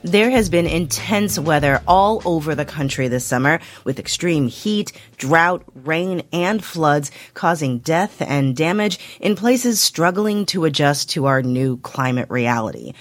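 A radio host reads scripted news introductions in a smooth, even way. The studio sound is very dry, and the voice sits at a middle pitch. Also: a little slow, few pauses, dry recording, faint music behind.

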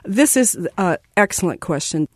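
A woman, a baking expert, walks a caller's question through step by step. Her pitch moves a lot as she explains. The sound is dry and close. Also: animated, moderate pace, no room sound. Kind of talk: instructional interview.

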